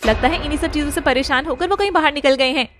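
A woman voicing a jealous, sulky young daughter who whines and complains in an animated family drama. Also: sits high, fast, slight background noise. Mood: gossipy. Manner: whining, complaining.

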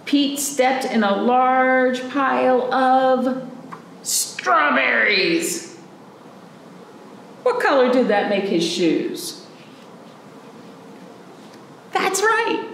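Slow-paced, creaky-voiced woman leading children's storytime. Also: high-pitched. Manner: simple, encouraging questions addressed to young children. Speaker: children's librarian hosting storytime. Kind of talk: children's storytime talk and picture-book read-aloud. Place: small indoor room, camera a few feet away. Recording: roomy echo, faint background noise.

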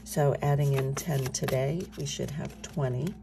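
A middle-aged woman talking softly while filling cash envelopes at her home desk, her voice a bit scratchy from just waking up. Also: a bass voice, steady tone, unhurried, gravelly. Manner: soft-spoken, talking softly. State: slightly scratchy morning voice. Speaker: budgeting channel host. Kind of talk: casual chat while stuffing cash envelopes.

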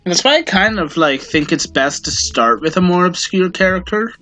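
A man's voice: high-pitched, lively intonation, normal speed, bright, forceful tone. A man hosting a casual podcast, rambling, swearing offhand and tossing in goofy asides. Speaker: podcast host.

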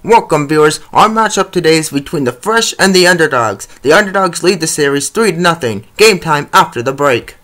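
A male announcer narrates an animated hockey series in a fairly high voice. He reads scripted play-by-play and calls out the scores. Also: a little fast, hardly any pauses.

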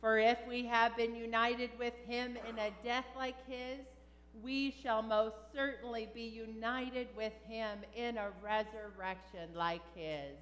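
A woman pastor leads a funeral service with formal, measured words. Her voice sits fairly high and sounds solemn. It rings with long reverb through a large church sanctuary. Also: fairly even intonation, a bit bright, slight background noise. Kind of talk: formal funeral liturgy read aloud.

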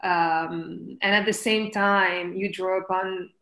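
A woman's voice: medium pitch, fairly even intonation, slow, bright, forceful tone. A woman in her thirties moderating a casual art conversation over a video call from indoors. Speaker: interviewer, moderator. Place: indoors, room with archway. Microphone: computer mic on a video call. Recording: roomy, echoing video call.

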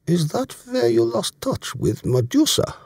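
A man's voice: very high-pitched, huge pitch swings, unhurried, creaky, darkish tone, slightly rough, dry recording, studio quality, voice pushed up, full character voice. A man voicing a bluff, shrewd German naval commander in an audiobook, genial and courteous as he probes with questions. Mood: amused, curious.

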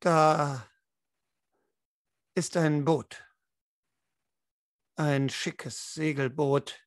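A man's voice: a little high, steady tone, very slow, dry recording, studio quality. A man leading a tabletop role-playing game as game master, explaining and narrating. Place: indoor room at home, online video call.

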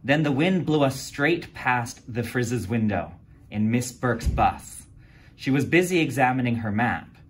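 A man reads a children's picture book aloud at a table indoors. His voice is mid-pitched and moves up and down with lively storytelling. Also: measured pace, slight background noise. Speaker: county environmental staff reading to kids. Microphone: camera filming book over shoulder.

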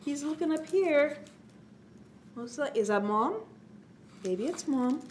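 A middle-aged woman leads a story time for young children in a fairly high voice. Her pitch swings widely as she prompts her listeners with exaggerated questions. There is some background noise on the recording. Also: expressive, slow.

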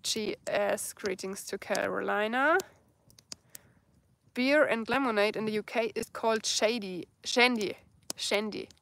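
Slow-talking German woman by a campfire. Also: mid-range voice, vocal fry, fairly bright tone, a little hiss or hum. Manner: slow, searching for English words. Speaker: bushcraft vlogger. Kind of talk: live stream chat reading comments. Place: outdoors in a dark forest at night by a campfire.

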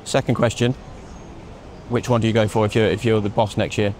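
A man in his thirties hosting a casual motorsport chat outdoors, asking quick questions on a lapel mic. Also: lowish voice, flat delivery, a little mellow, a little hiss or hum. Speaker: host. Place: outdoors in a racing paddock at night. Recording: faint outdoor street ambience.

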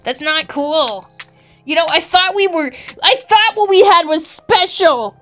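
Playful female gamer, high bright voice, dramatic improvised roleplay over faint music. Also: lively intonation, a little slow. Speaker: player in a video game roleplay. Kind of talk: improvised roleplay with friends while gaming.

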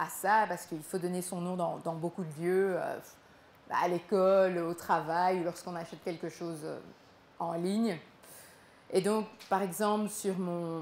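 A young woman who grew up in Belgium, telling her own story to a small audience in a gallery room, halting and repeating words as she goes. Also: on the low side, a little mellow, reverberant. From Congo, raised in Belgium. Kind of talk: live spoken performance.

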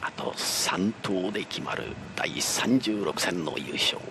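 A man's voice: deep voice, animated, slow pace, creaky. A man doing live play-by-play bowling commentary, exclaiming and repeating words at big moments. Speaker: sports play-by-play announcer. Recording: lo-fi broadcast, loud noise and crowd. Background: bowling arena crowd noise.

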